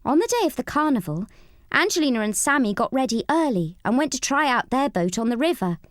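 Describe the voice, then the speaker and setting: A woman narrating a children's storybook read-along in an expressive, scripted style. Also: high-pitched, fairly quick, dry recording, studio quality.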